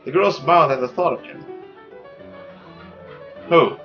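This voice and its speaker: A man's voice: on the high side, fast, muffled recording, soft background music. A man hosting a gaming video, reading a visual novel aloud and adding chatty commentary. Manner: animated, chatty.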